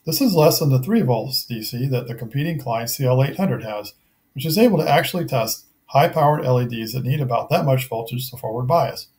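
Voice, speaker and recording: A middle-aged man narrating a scripted technical product review in a measured, methodical, matter-of-fact way. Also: medium pitch, lively intonation, darkish tone.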